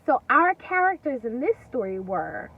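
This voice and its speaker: High, animated woman reading to kids. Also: vocal fry, breathy edge. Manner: expressive, deliberate, pauses to explain and question. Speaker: teacher reading a story aloud to young children. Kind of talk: animated read-aloud with questions to young children. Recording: distant, dull lo-fi recording with faint noise.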